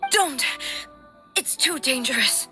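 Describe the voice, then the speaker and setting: Casting: stern, dignified game shogun, protective, high and measured, slow delivery over music. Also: female voice, animated. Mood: urgent. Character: regal young-sounding female shogun warrior, stern, composed, protective. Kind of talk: video game dialogue acting. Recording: game music clearly behind.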